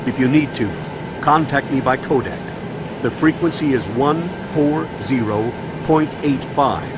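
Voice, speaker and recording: A man's voice: medium pitch, unhurried, very noisy, close to an everyday voice. An actor playing a male military commander in a video game, giving methodical instructions. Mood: instructive. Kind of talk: video game cutscene voice acting. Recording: music behind the voice.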